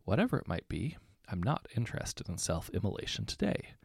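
A man's voice: on the low side, huge pitch swings, a little fast, creaky, husky, no room sound, studio quality, exaggerated voice. Man doing a curt, irritable grown woman. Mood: irritated. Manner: curt, growling, impatient. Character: irritable, sharp-tongued grown woman professional.

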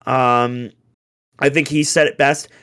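A young man on a casual sports chat, ranting in an exasperated way with rhetorical questions, his voice going hoarse. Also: mid-range voice, little pitch movement, slow pace, a bit bright. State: getting hoarse. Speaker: podcast co-host, hockey fan.

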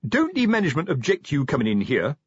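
A man's voice: a little high, wildly swooping pitch, fast, husky, no room sound, highs cut off, pitched above the performer's own voice, heavily acted. Man playing an embarrassed, stuffy watch sergeant. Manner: grumbling, disapproving. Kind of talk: audiobook character voice.

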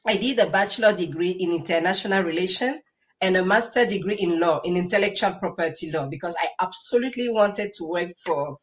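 A woman's voice: lowish voice, a little fast. Enthusiastic woman. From Cameroon. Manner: enthusiastic, emphatic repetition. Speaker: podcast guest, entrepreneur. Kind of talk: conversational personal storytelling.

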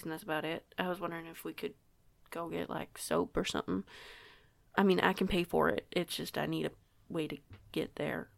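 Eager pack newcomer played by a woman: young, awkward female werewolf, lowish gravelly fry, halting informal chatter for audio drama. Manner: hesitant, rambling, self-conscious, informal. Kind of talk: audio roleplay acting, casual dialogue.

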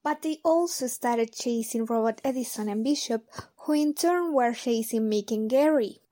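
A woman playing a gentle, questioning, concerned member of a hero team in an audio drama. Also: on the high side, moderate pace, lightly acted. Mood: earnest.

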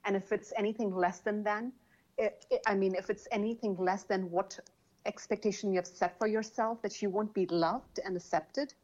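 A woman who speaks English as a second language, chatting casually as a guest author, animated, laughing often and restarting her sentences. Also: mid-range voice, normal speed, dull audio. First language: not English. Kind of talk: casual interview chat.

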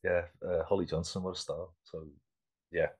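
A man's voice: low voice, level tone, normal speed, breathy edge. A man in his fifties, an artist being interviewed, talking casually and rambling with many restarts. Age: 50s. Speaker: artist guest.

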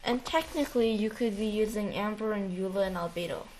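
A woman's voice: medium pitch, fairly quick, darkish tone, a little hiss or hum, low-quality recording. A woman chatting casually about a video game as a co-host, in an informal, slangy, bantering way. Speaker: podcast co-host.

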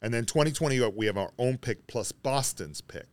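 A man's voice: medium pitch, animated, normal speed, no room sound. A man co-hosting a sports podcast, talking through basketball in a casual, conversational way.

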